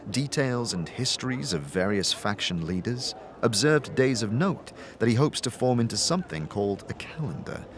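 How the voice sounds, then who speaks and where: Guy narrating a fantasy tale from a script, lowish pitch, expressive, music behind him. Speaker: game master narrator. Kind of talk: scripted third-person story narration.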